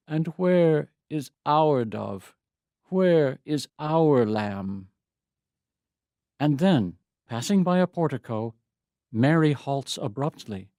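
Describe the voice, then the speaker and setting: A man performs a devoted, troubled father in a first-person poetic monologue. He speaks very slowly and deliberately, with solemn weight. The voice is soft-edged and mellow, of middling pitch, in a close, dry recording. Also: no room sound, close to an everyday voice. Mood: urgent, searching. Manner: solemn, measured, deliberate storytelling. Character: Saint Joseph, troubled, devoted stepfather recalling his lost boy. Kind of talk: dramatic poetry reading, first-person monologue.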